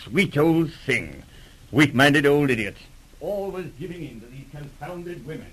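A man's voice: a little high, animated, unhurried, fairly bright tone, slightly rough, exaggerated voice. A man playing a pompous, spluttering, henpecked old English lord in 1940s radio drama style. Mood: flustered, grudging.

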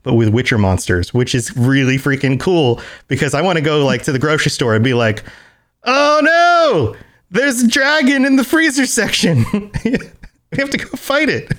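An American man co-hosting a podcast, chatting casually and reading event listings aloud, with animated jumps to high excited exclamations. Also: normal speed. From United States. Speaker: podcast co-host.

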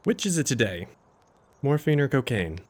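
Earnest, formal male army doctor played by a man, medium pitch, a loyal companion in a Victorian audio drama. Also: sing-song, normal speed, darkish tone. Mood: disapproving.

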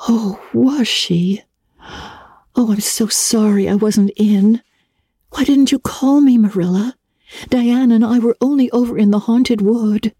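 A woman's voice: a little high, a little slow, warm tone, breathy edge, dry recording, clean studio sound, pitched above the performer's own voice. A woman voicing an imaginative, talkative young girl, gushing and wistful in a dramatic storybook reading. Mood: dreamy, earnest. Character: dreamy, chatty, imaginative young girl, earnest and romantic. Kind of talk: dramatic audiobook reading of classic children's fiction.

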